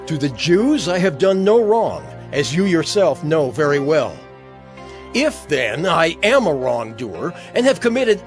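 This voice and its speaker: A high, very animated male voice plays a defiant prisoner in scripture drama. He declaims earnestly and with passion, over prominent music. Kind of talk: dramatized audio Bible acting.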